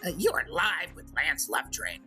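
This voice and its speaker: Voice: medium pitch, big pitch swings, moderate pace, pushed and bright, soft background music. An actor voicing a sly, crude male goblin who hosts a comedic radio call-in show, smirking and rambling. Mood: smug, teasing. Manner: smirking, self-justifying, rambling with drawn hesitations. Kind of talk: comedic in-character monologue and banter.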